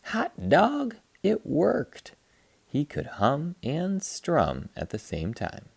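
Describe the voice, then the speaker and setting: A man reading a children's picture book aloud as a teacher, with animated, dramatic emphasis. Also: fairly high pitch, very slow, gravelly, breathy edge, dry recording. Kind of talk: expressive children's read-aloud narration.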